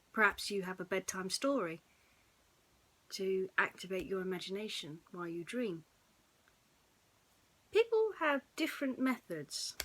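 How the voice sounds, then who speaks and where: Slow, hushed woman telling bedtime stories. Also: mid-range voice, husky. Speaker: bedtime storyteller. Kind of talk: soothing bedtime story narration.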